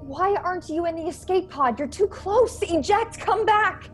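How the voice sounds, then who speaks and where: A woman's voice: very high-pitched, animated, unhurried, faint music behind. A young woman playing a grieving starship crew member in a science-fiction radio play, telling her story dramatically. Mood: alarmed. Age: young adult. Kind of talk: dramatic first-person radio play narration. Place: bedroom at home, video call. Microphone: earphone headset on video call.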